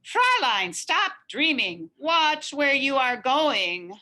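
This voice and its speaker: A woman voicing irritated street drivers in a storybook, yelling loudly. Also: sing-song, slow, full character voice. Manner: yelling, high-pitched. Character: loud, irritated street drivers in old Berlin.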